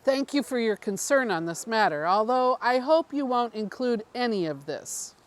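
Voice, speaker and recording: A woman voicing a secretive, persuasive male politician in an audiobook, with measured, rhetorical phrasing. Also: fairly high pitch, expressive, lightly acted. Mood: guarded, confiding. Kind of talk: audiobook character dialogue.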